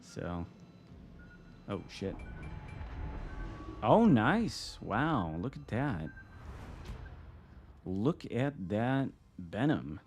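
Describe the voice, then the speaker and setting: A man from Michigan with an American accent, commenting casually while streaming a game from home, wry and relaxed. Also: lowish voice, extremely slow, long pauses, slight background noise. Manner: relaxed, wry, reacting to the game with mild swearing. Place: home room.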